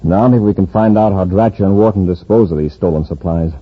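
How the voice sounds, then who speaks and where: An actor playing a decisive, authoritative space patrol commander in an old-time radio serial, firm and commanding. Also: male voice, sits low, brisk. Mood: calm, analytical. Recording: muffled vintage radio recording.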